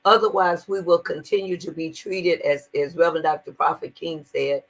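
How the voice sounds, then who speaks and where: A woman's voice: on the low side, fairly even intonation, moderate pace, low-quality recording. An older woman speaking earnestly in a conversational interview, stressing her points and often repeating words. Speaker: guest activist.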